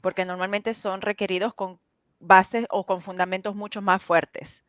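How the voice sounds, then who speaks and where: Woman interpreting, smooth clear voice, calm and explaining, muffled dull audio. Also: mid-range voice, moderate pace, dry recording. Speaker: Spanish interpreter for the show.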